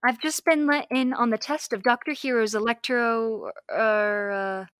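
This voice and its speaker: A woman's voice: a little high, fairly even intonation, measured pace, fairly bright tone, clean tone, no echo, studio quality. A young woman playing a spirited, curious space soldier in old-time radio serial style, with a perky, inquisitive manner. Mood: lively, amazed. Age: young adult. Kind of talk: 1930s radio serial acting.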